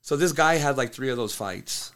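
A man's voice: medium pitch, animated, normal speed, fairly bright tone. A man who coaches fighters, telling a fight story bluntly in casual conversation, swearing freely. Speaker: MMA coach and fighter, podcast guest.

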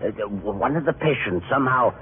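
Fussy, animated sanitarium director played by an actor. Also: male voice, medium pitch, a little fast, a little hiss or hum, close to an everyday voice. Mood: apologetic. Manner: hesitant, repetitive, formal. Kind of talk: 1950s radio drama acting. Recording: phone-like old radio broadcast, music behind.

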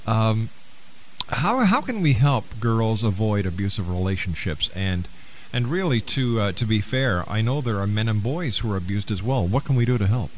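A man hosting a radio interview, speaking in halting, deliberate phrases as he asks questions. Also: fairly low pitch, animated, slight background noise, poor audio. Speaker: radio show host.

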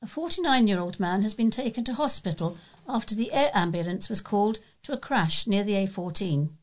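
A woman's voice: medium pitch, animated, fairly quick. A woman with a British accent reads local news articles aloud as a volunteer newsreader.

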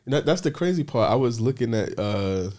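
A man's voice: mid-range voice, animated, brisk, a little mellow. A man hosting a casual podcast, asking questions with frequent restarts and slang. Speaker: podcast host.

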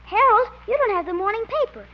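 A woman's voice: very high voice, animated, fast. A woman playing an eager, over-polite little schoolboy in a 1950s radio comedy, speaking with sweet earnestness. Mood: angelically polite. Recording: noisy, muffled old radio recording.

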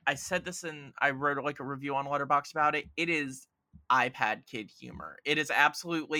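A man's voice: medium pitch, bright, forceful tone. A man chatting casually about films as a podcast guest, opinionated and emphatic, with sarcastic jabs and some swearing. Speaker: podcast guest film critic. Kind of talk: casual film discussion.

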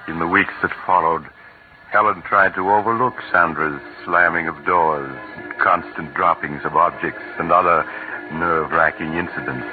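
A man giving measured scripted narration for a 1940s radio drama. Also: sits low, fairly even intonation. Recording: tinny old radio broadcast with music.

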